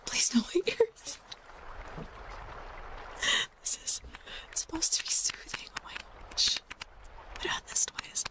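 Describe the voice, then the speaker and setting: A woman's voice: slow pace, pauses often, poor audio. Whispering woman ASMR streamer. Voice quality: whispering, breathy. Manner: playful, rambling, apologetic chatter. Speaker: livestream host.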